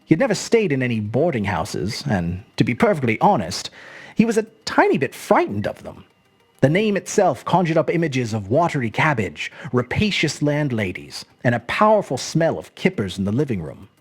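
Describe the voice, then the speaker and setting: A storyteller narrating a scripted short story in an expressive, measured, dramatic manner. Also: medium pitch, husky. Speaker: storyteller, host.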